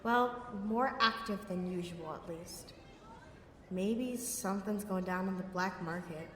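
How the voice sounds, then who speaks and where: A woman's voice: on the low side, unhurried, reverberant, in a large hall, a little hiss or hum, close to an everyday voice. A woman playing a cocky, sarcastic young pickpocket outlaw in a sci-fi audio roleplay, muttering wryly to herself. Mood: bitter, brooding. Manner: wry, sardonic, slangy, talking to herself.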